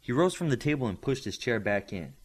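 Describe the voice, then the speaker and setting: A man narrates a story from a script in an expressive storytelling voice. His mid-pitched delivery moves up and down freely, with very lively intonation. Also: fairly quick. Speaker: audiobook reader.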